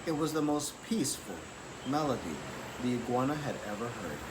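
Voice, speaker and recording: A man reading a children's picture book aloud in an expressive, deliberate storytelling manner. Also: mid-range voice. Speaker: story reader. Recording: clearly noisy background.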